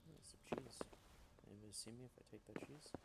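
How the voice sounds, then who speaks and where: A man's voice: medium pitch, fast talker, vocal fry, heavy background noise. A man giving casual, rambling, joking commentary as he plays a video game. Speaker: gamer, let's play host.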